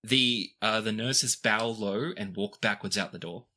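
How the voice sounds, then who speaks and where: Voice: mid-range voice, unhurried, bright, forceful tone. A game master narrating a tabletop fantasy game in a conversational, descriptive way, with casual asides.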